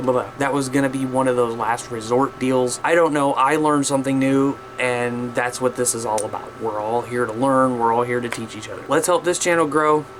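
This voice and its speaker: Male hobby vlogger, American, average pitch, off-the-cuff and folksy, wandering delivery, noticeable noise behind him. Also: poor audio. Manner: rambling, repeats himself, mildly folksy. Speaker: amateur radio hobby vlogger. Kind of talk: casual unscripted vlog talk to viewers. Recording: clear steady background noise.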